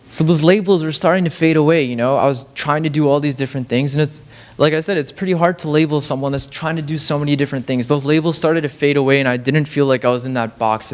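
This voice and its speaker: A young man giving a casual, rambling talk on stage as a student speaker, self-deprecating and full of fillers and restarts. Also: medium pitch. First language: Farsi. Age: young adult. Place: lecture stage.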